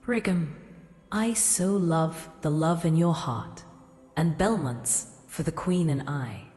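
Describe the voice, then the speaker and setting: Deep-voiced ancient goddess in cavernous reverb. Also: female voice, darkish tone. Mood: regal, measured. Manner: solemn, formal, deliberate, regal. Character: ancient imperious goddess in a queen's body, ghostly, commanding. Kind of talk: fantasy audio drama acting. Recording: cavernous reverb, faint music.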